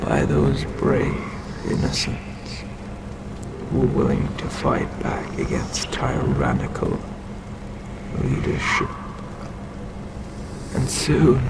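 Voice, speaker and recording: A man voicing an ancient, sinister eldritch god in an ASMR roleplay, telling a story in a breathy, creaky voice that often slips into a whisper, with deliberate menace and long pauses. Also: medium pitch, very noisy, full character voice. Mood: darkly proud.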